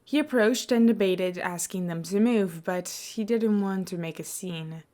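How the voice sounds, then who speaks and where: A woman's voice: medium pitch, measured pace, mellow. A woman reading fiction aloud as a scripted podfic narration.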